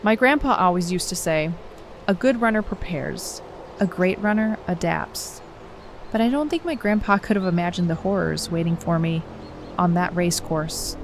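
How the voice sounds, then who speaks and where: A woman's voice: on the low side, expressive, normal speed, a little hiss or hum. A woman playing a determined, wary young runner in a naturalistic audio drama, with a dry, skeptical, conversational manner. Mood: reflective.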